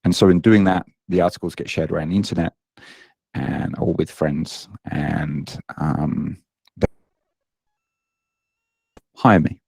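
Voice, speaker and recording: A man's voice: low-pitched, takes it slowly, creaky, long pauses, darkish tone, breathy edge, dry recording. A British man in his late thirties, a fitness coach guest chatting casually on a podcast, self-deprecating with lots of ums and uhs. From Britain.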